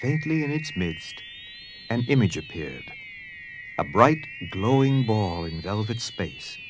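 A man's voice: on the low side, big pitch swings, takes it slowly, slight background noise. A man playing the suspenseful narrator of an audio drama, reading scripted storybook narration. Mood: hushed wonder. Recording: faint music under narration.

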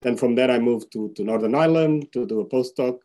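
A man's voice: medium pitch, fairly quick, mellow. A man in his thirties with a Portuguese accent, a professor speaking off the cuff with hesitant repeated words. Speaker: professor, seminar guest. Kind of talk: spontaneous account of career. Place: indoors on a video call with virtual background.

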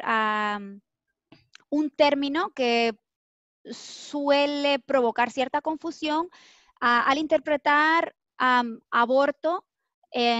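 A young woman interpreting medical terms on a video call, speaking in a careful, measured way. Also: high-pitched, a bit bright, no echo. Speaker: Spanish interpreter. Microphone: laptop mic on video call. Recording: dull dry video call audio.

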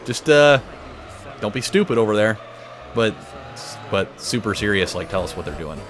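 A man hosting a gaming video, telling stories in a casual, animated way with swearing. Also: fairly low pitch, normal speed. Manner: animated storytelling with profanity. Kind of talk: casual chat over a racing game. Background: loud game music and car engines.

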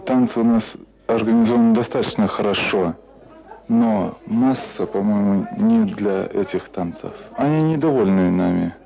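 Male interviewee, creaky and mellow, halting, hesitant answers. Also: on the low side, low-quality recording. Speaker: dance floor organiser being interviewed.

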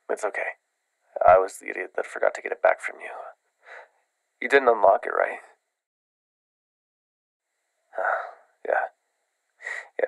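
A young man acting a desperate, evasive caller, speaking haltingly with a gravelly voice in an immersive audio roleplay. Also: lowish voice, fairly bright tone, no echo, exaggerated voice, poor audio. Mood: forced lightness. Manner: halting, pleading. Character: desperate, evasive man pleading over the phone.

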